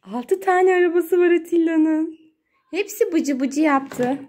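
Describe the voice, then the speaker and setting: A woman's voice: very high-pitched, slow pace, a little mellow. A woman talking to her young child as a mother at play, narrating in playful, sing-song baby talk with repeated words. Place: indoors at home beside water play tubs. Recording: noisy home phone recording with clicks.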